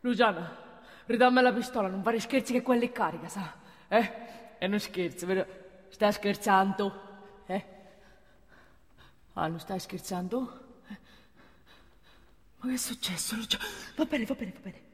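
A woman's voice: mid-range voice, unhurried, long pauses, long reverb tail, voice pushed down, natural voice. A woman playing an older male Roman security guard in a comic stage monologue, colloquial, pleading and exasperated, with a Roman accent. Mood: nervous pleading.

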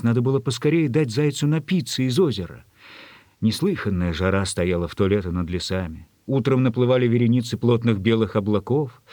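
A man narrating a literary story from a script in a measured, expressive way. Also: mid-range voice, no room sound.

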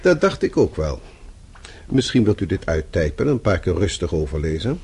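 A man's voice: deep voice, sing-song, moderate pace, darkish tone, breathy edge, heavily acted. A man acting in a radio play as a stern, middle-aged boss who speaks formally with dry sarcasm. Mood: dryly disapproving. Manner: formal, clipped, dryly sarcastic. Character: stern, sardonic middle-aged male boss, fatherly and disapproving.

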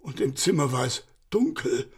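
A panicky old servant with a husky voice. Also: male voice, mid-range voice, expressive, normal speed. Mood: anxious. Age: older. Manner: agitated, tumbling nervous speech with stammers. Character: old frightened male servant, anxious and fussy. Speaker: servant of a baron. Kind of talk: radio play acting.

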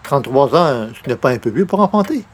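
A man's voice: medium pitch, sing-song, brisk, slightly rough. A man playing a self-important would-be writer and columnist in an old-time radio comedy, theatrical and grumbling. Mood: polite, hesitant. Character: pompous, wounded would-be great writer and newspaper columnist.

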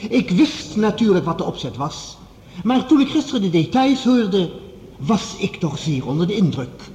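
An eminent radio-play scientist with a high-set, widely swinging voice. He speaks in measured, formal oratory, composed and statesmanlike, in a big reverberant hall. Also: big pitch swings, a little mellow, slight background noise. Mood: solemn, persuasive. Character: eminent male scientist, formal, composed, conceding rival professor. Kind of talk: radio play acting, formal speech to an assembly. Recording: echoey large hall with long reverb.

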